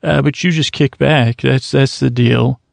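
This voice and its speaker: A man hosting a podcast in a soft, meandering bedtime ramble, drifting off track and poking fun at his own slips. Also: mid-range voice, steady tone, a little slow, gravelly, dry recording. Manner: soft, meandering, self-mocking with verbal slips. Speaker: podcast host.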